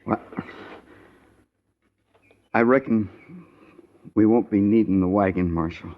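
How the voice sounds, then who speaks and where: A man's voice: on the low side, takes it slowly. A man playing an earnest, homespun American frontier settler in a 1950s radio western. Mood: solemn, content. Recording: muffled old radio broadcast.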